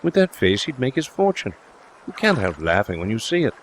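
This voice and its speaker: A man voicing a jaunty, effusive old gentleman in an audiobook story. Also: mid-range voice, big pitch swings, a little fast, slight background noise, voice pushed up, lightly acted, poor audio. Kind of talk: dramatised audiobook dialogue.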